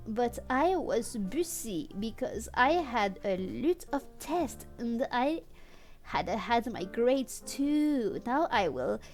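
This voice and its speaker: A woman's voice: high-pitched, a little mellow. A woman livestreaming a read-aloud of fan fiction on a headset, playful and mock-dramatic, with amused asides. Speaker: streamer and host.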